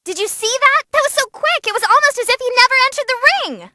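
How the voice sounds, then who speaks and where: Squeaky, piercing, perky female demon announcer. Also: fast, bright, forceful tone, a little hiss or hum. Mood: wry commentary. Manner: gleeful, dramatic, playful. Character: perky bloodthirsty non-human female tournament fight announcer. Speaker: tournament fight announcer. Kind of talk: excited ringside fight commentary.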